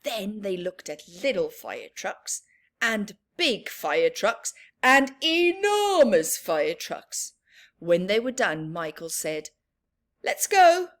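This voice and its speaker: A woman reading a picture book aloud to young children, expressive and chatty, adding playful asides. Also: mid-range voice, slow. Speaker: children's storyteller.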